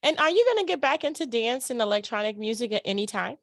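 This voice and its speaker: A woman's voice: fairly high pitch, lively intonation, fast, a bit bright, no echo. Effusive woman hosting, dry close sound. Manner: informal, slangy, effusive. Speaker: interview show host. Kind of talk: casual chat interview.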